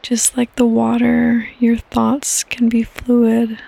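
A woman hosting a guided sleep meditation in a soft, breathy, partly whispered voice, with calm, gentle instructions and long pauses. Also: fairly high pitch, no echo. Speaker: podcast host and meditation guide.

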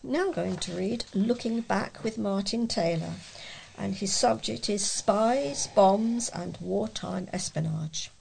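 A woman reading local news articles aloud as a volunteer reader, with a creaky, husky voice and a measured delivery. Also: mid-range voice, a little mellow, rough recording.

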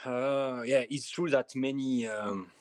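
A man with a French accent gives a measured interview answer, stopping now and then on soft fillers. His voice sits at an ordinary middle pitch. The sound is close and dry, with no room around it. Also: fairly even intonation, no room sound. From France. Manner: measured, pausing with frequent uh fillers. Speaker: film director guest. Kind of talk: podcast interview.

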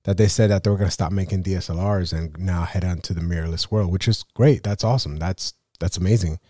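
A man hosting a photography podcast while getting over a cold, rambling through a casual solo rant. His voice sits low and mellow, and he keeps leaning on "you know" and "right?". The sound is dry and close. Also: fast, dry recording. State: sick with a cold.

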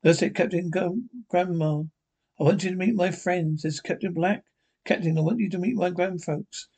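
A man voicing an excitable, sentimental young space lieutenant, eager and stammering, with a husky voice. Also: sits high, normal speed.

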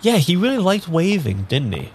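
A man's voice: high-pitched, wildly swooping pitch, a little hiss or hum. A man playing a bewildered, earnest amnesiac in an improvised audio drama, speaking in an unsure, conversational way. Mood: flustered, unsure. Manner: halting, unsure, rambling. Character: bewildered, earnest man without his memory. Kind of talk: improvised audio drama dialogue and first-person narration.

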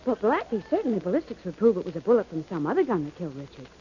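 Fast animated female voice, actress as earnest anxious young sweetheart, dramatic 1940s radio, dull noisy recording. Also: mid-range voice, natural voice. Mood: shocked, earnest. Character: anxious, loyal young woman, sleuth's sweetheart.

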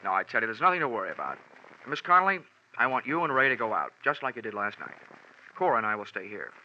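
An American actor playing a tough, wisecracking insurance investigator in a 1940s radio detective drama, with sardonic, clipped delivery. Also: male voice, on the low side, big pitch swings, fast talker, slight background noise. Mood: brisk, dry. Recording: dull vintage 1940s radio recording, faint music.

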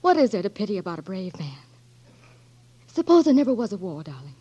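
A woman's voice: medium pitch, big pitch swings, a little fast, mellow, breathy edge. An actress playing a devoted young wife who pleads tenderly with her blinded Marine husband, in 1940s radio-drama style. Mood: pleading. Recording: dull old radio broadcast.

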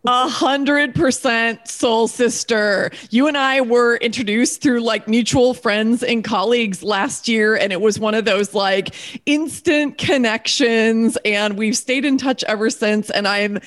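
A woman's voice: a little high, measured pace, fairly bright tone. Enthusiastic woman podcast host. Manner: enthusiastic, gushing, fills speech with "like" and "you know". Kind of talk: conversational podcast interview.

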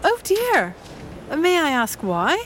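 A proper, courteous mother of middle age in a radio play. Her high voice rises and falls freely, staying crisply formal and quietly determined. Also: wildly swooping pitch, slow pace, a little hiss or hum, heavily acted. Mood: prim, dry. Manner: polite, prim, crisply formal. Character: polite, proper, determined conservative middle-class English mother. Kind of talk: audio drama acting.

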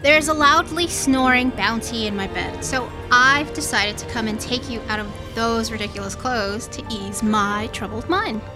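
A tough, teasing female space pirate captain played by a woman in a radio drama. Her voice sits fairly high and she banters wryly, with music clearly playing behind her. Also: normal speed, few pauses, close to an everyday voice, rough recording. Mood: wry, seductive. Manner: wry, bantering. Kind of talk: radio play acting.

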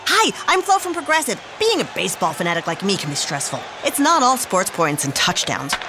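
A woman's voice: mid-range voice, sing-song, a little fast, background noise. An actress playing a chipper, quirky, sports-clueless saleswoman in a comedic radio commercial, perky and chatty. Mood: chipper, cheerful.

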